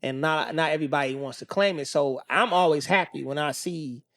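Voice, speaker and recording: A man's voice: a little high, brisk, fairly bright tone, no room sound, clean studio sound. A man from Oakland, hosting a casual conversational interview in an emphatic, slangy, encouraging way. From Oakland, California. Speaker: podcast host.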